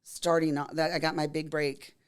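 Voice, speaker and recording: A woman in her fifties from Texas, a makeup artist telling stories in a casual interview, her voice scratchy and hoarse from a cold. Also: low voice, level tone, normal speed. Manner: chatty storyteller, laughs often.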